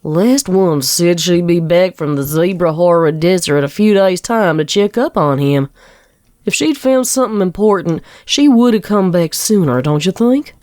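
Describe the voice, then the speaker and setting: A stubborn young farm mare played by a woman speaks in a slightly low voice. She is proud and guarded, with folksy phrasing, recorded close and dry as storybook drama. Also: expressive, moderate pace, a little mellow, no echo, close to an everyday voice. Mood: subdued. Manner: folksy, guarded rural speech. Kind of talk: dramatic fan fiction reading.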